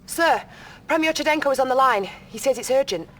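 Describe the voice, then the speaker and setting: An actress playing a young female intelligence officer who briefs her commander in a crisp, earnest way. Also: high voice, animated, brisk, a little hiss or hum. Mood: urgent.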